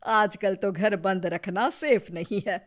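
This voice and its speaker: A woman acts out a suspicious older mother-in-law from a cartoon moral story. She sneers and scolds at an unhurried, heavy pace. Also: medium pitch, close to an everyday voice. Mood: scornful. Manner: scornful, scolding. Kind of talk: cartoon voice acting.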